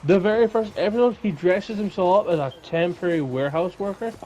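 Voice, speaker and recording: A man's voice: sits high, a little fast, mellow, highs cut off, faint music behind. A man co-hosting a podcast in casual, informal conversation. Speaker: podcast co-host.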